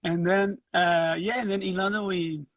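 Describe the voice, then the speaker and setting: A middle-aged man from Brazil, a guest musician telling his story in a casual interview over a video call, halting and restarting his phrases. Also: high-pitched, steady tone, a bit bright, dry recording, studio quality.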